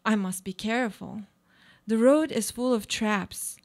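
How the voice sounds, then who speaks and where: A woman acting a guarded, high-voiced monologuist. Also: measured pace. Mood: musing, guarded. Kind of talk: live radio play monologue.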